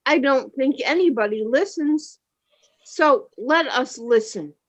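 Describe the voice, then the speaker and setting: A woman's voice: high voice, lively intonation, slow pace, heavily acted. A woman playing a present-day family member, speaking in an earnest, questioning way. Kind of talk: community radio play acting.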